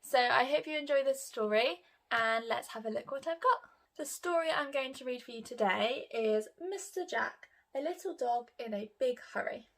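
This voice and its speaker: A woman's voice: on the high side, normal speed, fairly bright tone. A woman reading a picture book aloud to children like a teacher, expressively and with questions to her young listeners.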